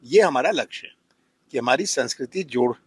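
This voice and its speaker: A man's voice: mid-range voice, lively intonation, moderate pace, a little hiss or hum. A man, a politician, making unscripted remarks to the press.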